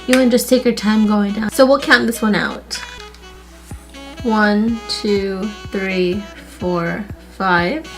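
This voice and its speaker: A woman's voice: medium pitch, fairly even intonation, slow pace, over music. A woman with a stuffy nose chatting casually as she walks through a hair tutorial for her channel. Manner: chatty, friendly, repeats "literally" and "like". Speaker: beauty YouTuber.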